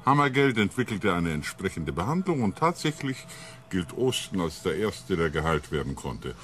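A level-headed ship psychologist in a radio play. His low voice moves expressively as he patiently explains, over faint ambience. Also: very animated, normal speed, a bit bright, a little hiss or hum. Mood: measured, explanatory. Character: calm, balancing ship psychologist, a man. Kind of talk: science fiction radio drama dialogue.

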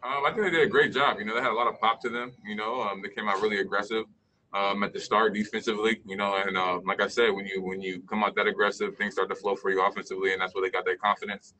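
A young man, a pro basketball player, answering post-game press questions over a video call, halting with many fillers. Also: on the low side, flat delivery, pushed and bright, a little hiss or hum, poor audio. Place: indoor media room.

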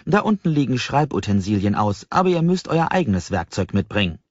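A man's voice: mid-range voice, big pitch swings, a little fast, darkish tone, voice pushed up. A man voicing a cheerful, welcoming doorkeeper of a secret library in an audiobook, friendly, amused and eager to explain. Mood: cheerful, helpful. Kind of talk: audiobook character voice. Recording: slightly dull, roomy audiobook recording.

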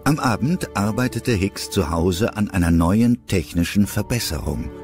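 A man's voice: sits low, expressive, unhurried, music in the background. A man narrating a children's adventure radio play as a storyteller, in a scripted style.

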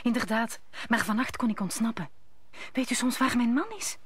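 A woman's voice: on the high side, normal speed, a little hiss or hum, close to an everyday voice. A woman playing an anxious young wife of about twenty-five in a radio play.

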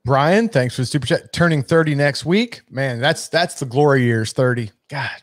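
A man's voice: medium pitch, moderate pace, no room sound, studio quality. A man in his forties hosting a live whiskey stream, joking, swearing and reading viewer messages aloud. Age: 40s. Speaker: host. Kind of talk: casual live-stream chat. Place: home bar room with shelves of bottles.

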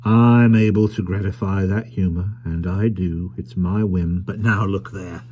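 A man's voice: low-pitched, fairly even intonation, a little slow, mellow, a little hiss or hum. A man voicing a smug, domineering merchant in a storybook reading, pompous, clipped and sardonic. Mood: smug, mocking. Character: sneering, smug, domineering male merchant Tackleton. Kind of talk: audiobook character voice.

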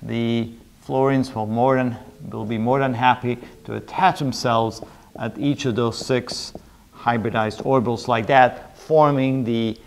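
A man's voice: mid-range voice, fairly even intonation, unhurried, gravelly. Middle-aged man giving a step-by-step lecture. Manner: explanatory, step by step, repeats phrases. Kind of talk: chalk-talk chemistry lecture at a whiteboard. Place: indoor room with a whiteboard.

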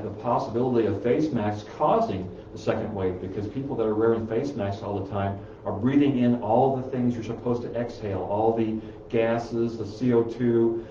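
A middle-aged man talks from printouts in a small office, halting often on fillers and restarts. His voice is lowish and mellow, picked up by a far camera so it sounds distant and roomy. The sound is a bit dull. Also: a little hiss or hum. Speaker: chiropractor hosting a health talk. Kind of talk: casual talk reading from printouts. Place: small office room, indoors.

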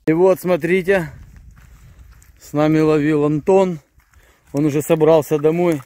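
Chatty fisherman, higher voice, outdoors. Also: fairly even intonation, unhurried, warm tone, no room sound. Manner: chatty, stumbling, mutters to himself. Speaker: fishing vlogger. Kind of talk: casual vlog narration. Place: outdoors on a riverside pier. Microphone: phone held at arm's length.

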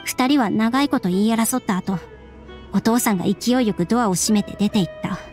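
An actress voicing a subdued young woman in a Japanese game, speaking in a measured, wistful and serious way. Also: mid-range voice, darkish tone, faint music behind, natural voice. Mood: resigned, sad. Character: subdued, resigned young woman, estranged daughter of strict scholar. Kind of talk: Japanese anime game voice acting.

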